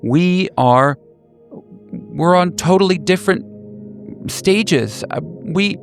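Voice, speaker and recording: Wounded male former lover, acted by a man, gravelly, emphatic, halting, roleplayed phone drama. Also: sits high, expressive, faint music behind, voice pushed up, exaggerated voice. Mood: exasperated, final. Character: wounded, exasperated former lover, a man, firmly refusing reunion.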